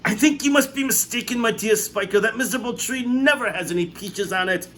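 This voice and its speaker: A man's voice: high voice, brisk, bright, forceful tone, slight background noise, pitched above the performer's own voice. A man voicing a fat, greedy aunt who schemes and bosses a boy around. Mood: scheming.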